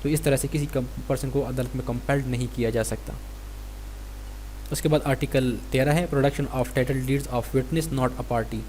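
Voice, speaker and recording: A man's voice: medium pitch, fairly even intonation, unhurried, darkish tone, low-quality recording. A man with a Pakistani accent giving an explanatory law lecture, reading statutes and explaining them. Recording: clear background noise with hum.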